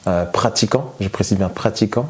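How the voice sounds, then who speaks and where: A man's voice: on the low side, normal speed, darkish tone. A man born in France telling his personal testimony in a hesitant way, with many fillers and restarts. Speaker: guest giving testimony.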